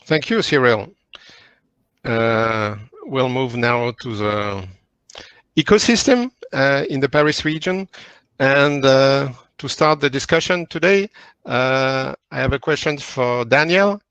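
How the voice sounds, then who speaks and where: A man's voice: medium pitch, slow, fairly bright tone, clear, resonant tone, no echo. A man moderating a webinar panel, with brief, polite handoffs to the speakers. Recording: dull dry lo-fi audio.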